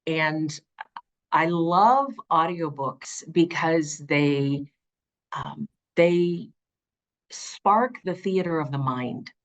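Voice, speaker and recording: Lower-voiced middle-aged woman, earnest and expressive. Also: very slow. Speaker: audiobook narrator being interviewed. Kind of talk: conversational interview.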